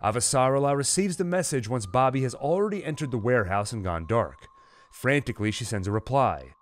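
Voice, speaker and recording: A man's voice: mid-range voice, lively intonation, brisk. A man narrating a scripted, dramatized story recap in the manner of a host. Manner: acts out quoted dialogue within narration. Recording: music under the voice. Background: dramatic music and sound effects.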